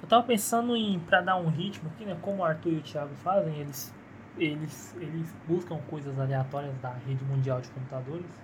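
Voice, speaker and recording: A man's voice: a little high, a little fast. Brazilian man rambling cynically into a phone. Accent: Brazilian Portuguese. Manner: rambling, cynical and profane, with self-mocking laughs. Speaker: podcast host. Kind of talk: casual solo podcast monologue. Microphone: phone held in one hand. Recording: noisy phone recording.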